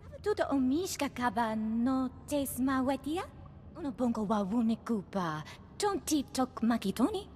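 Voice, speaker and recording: An actress playing an animated female alien in a video game, speaking a bouncy invented tongue. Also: sits high, moderate pace, big-room sound, slight background noise.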